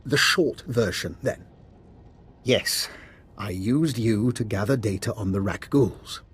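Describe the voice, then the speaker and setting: Husky, scheming male scientist. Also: lowish voice, slow, a bit bright, exaggerated voice. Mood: coolly evasive. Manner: polite, formal, evasive. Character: smooth-talking, scheming male scientist doctor, coolly amused. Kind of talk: video game voice acting.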